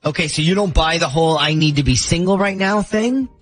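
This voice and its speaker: A man hosting a morning radio show with brisk, joking banter. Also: a little high, soft background music.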